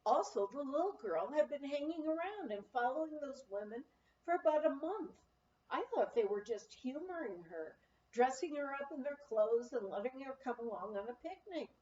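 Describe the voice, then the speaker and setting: A woman's voice: high voice, expressive, moderate pace, a little mellow, echoey room, lo-fi sound, pitched above the performer's own voice. A woman voicing an earnest, worried memoir heroine with emphatic, exclaiming speech. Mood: defensive.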